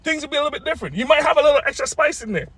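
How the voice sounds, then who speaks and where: A man's voice: very high voice, lively intonation, rapid-fire, bright, forceful tone, dry recording. A man in his thirties from Saint Vincent, teasing his partner in a playful couple's debate and repeating himself insistently. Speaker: co-host of couple's channel.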